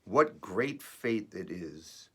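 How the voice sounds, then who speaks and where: A man with a raspy, slightly under-the-weather voice, reading and reflecting as host of an earnest spiritual message, with deliberate pauses. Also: fairly low pitch, expressive, pushed and bright. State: voice rough while recovering from feeling unwell. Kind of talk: devotional reading and personal reflection.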